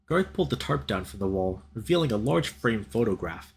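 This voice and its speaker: Young man, animated swinging pitch, slightly husky, playful streamer narration, expressive reading. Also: a little fast. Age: young adult. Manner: playful, expressive, bantering with chat. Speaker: streamer reading a visual novel aloud. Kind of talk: animated let's play reading and chat banter.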